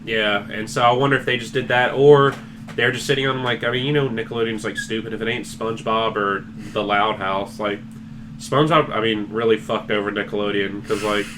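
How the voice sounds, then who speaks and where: A man's voice: on the low side, steady tone, fairly quick, noisy background. A man hosting a casual podcast, rambling and joking among friends with plenty of swearing. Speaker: podcast host.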